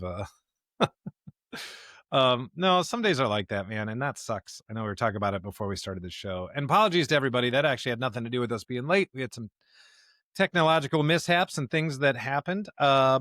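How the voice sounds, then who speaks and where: A man's voice: medium pitch, fairly bright tone, no echo, studio quality. An American man co-hosting a podcast as a pastor, talking casually and informally in a chatty way.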